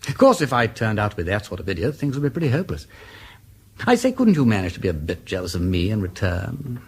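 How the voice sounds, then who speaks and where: An actor playing a flippant, lovestruck aristocratic English gentleman detective in a British radio drama, chattering whimsically in old-fashioned slang. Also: lowish voice, huge pitch swings, fast, husky, full character voice. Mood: earnest, playful. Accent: upper-class English.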